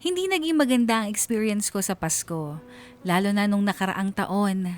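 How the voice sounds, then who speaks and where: Woman acting weary Filipina daughter, medium pitch, measured, resigned, confiding, first-person radio drama narration. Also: faint music behind, lightly acted. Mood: regretful. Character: weary married daughter confiding her life story.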